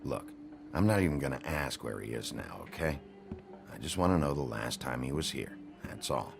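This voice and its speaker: A weary, dogged lawman for a cinematic video game. His voice is extremely low and full of fry, gruff and blunt in its short replies. Music plays behind him. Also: big pitch swings, moderate pace, full character voice. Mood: impatient, pressing. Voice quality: gravelly. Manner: terse, blunt. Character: gruff weary male sheriff, terse and dogged.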